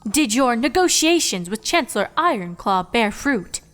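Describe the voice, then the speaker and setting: A woman's voice: a little high, sing-song, moderate pace, a bit bright, big-room sound, faint music behind. A woman voicing a regal pony princess of the night who speaks in a formal, old-fashioned way. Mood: formal, proud. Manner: archaic, stately formal phrasing.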